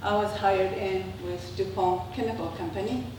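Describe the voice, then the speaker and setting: An older woman from Japan with a Japanese accent and native Japanese, giving a prepared autobiographical slide talk in measured, halting phrases. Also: mid-range voice, steady tone. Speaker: presenter, traditional Japanese dancer. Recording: echoey room, distant mic, clear background noise.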